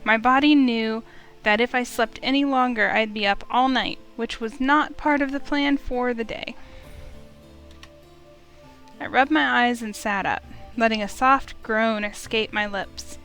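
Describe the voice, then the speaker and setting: Female let's play host, fairly high voice, reads and presents a visual novel over soft game music. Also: steady tone, measured pace. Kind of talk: casual intro and read-aloud story narration.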